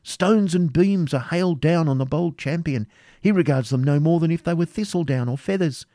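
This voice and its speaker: Man voicing an urgent, high-pitched anguished young woman. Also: normal speed, warm tone, dry recording, clean studio sound, close to an everyday voice. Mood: excited, fervent. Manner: breathless exclamations, urgent repetition. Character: anguished young woman watching battle, fervent and pious. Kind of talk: dramatic audiobook character reading.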